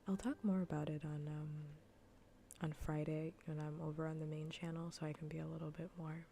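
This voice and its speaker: Soft, breathy, low-pitched woman streamer. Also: level tone, fairly quick, a little hiss or hum. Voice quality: soft, breathy, often near-whispered. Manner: casual, rambling, repeats phrases. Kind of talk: soft-spoken ASMR gaming stream chat.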